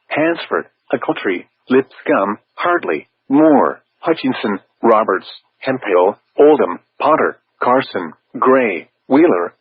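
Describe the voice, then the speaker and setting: A synthetic male text-to-speech voice reading scripted weather warning bulletins over weather radio, formal and measured, with stilted phrasing. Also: medium pitch, slightly rough, dry recording. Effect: weather radio broadcast. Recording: tinny narrow-band weather radio.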